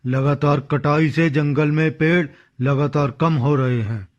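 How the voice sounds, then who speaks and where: Man as reproachful forest official, animated moral tale, firm, lecturing, slightly high pitch, dull lo-fi sound. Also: a little slow, lightly acted. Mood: stern. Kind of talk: Hindi animated moral story acting.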